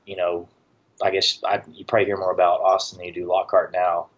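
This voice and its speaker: A man's voice: low voice, monotone, a little fast, muffled recording. A middle-aged man from the Southern United States chatting casually as an interview guest, with a dry, joking manner. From Southern United States, born in Tennessee. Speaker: podcast guest, barbecue business owner.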